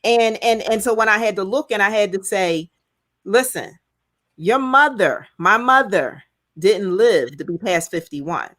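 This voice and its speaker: A woman's voice: mid-range voice, expressive, measured pace, a bit bright, no room sound, studio quality. A woman in her fifties hosting a challenge group, talking in an earnest, personal way and repeating key points for emphasis. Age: 50s. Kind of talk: casual group talk.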